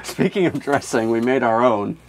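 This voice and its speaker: A man chats as co-host of a comedy podcast. His pitch is average for a man. He rambles casually, jokey and sweary. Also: lively intonation, normal speed, a little hiss or hum, rough recording. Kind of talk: casual, profane banter.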